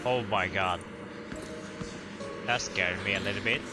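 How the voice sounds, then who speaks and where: A man's voice: low-pitched, a little slow. A man giving casual, offhand reactions as he plays through a video game. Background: loud game music.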